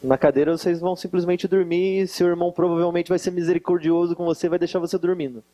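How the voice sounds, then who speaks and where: High-voiced joking man from Minas Gerais. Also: little pitch movement, fast, mellow. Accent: Brazilian Portuguese, Minas Gerais. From Varginha, Brazil. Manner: joking, teasing the audience. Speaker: guest preacher. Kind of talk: conference sermon with jokes. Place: conference hall with live audience.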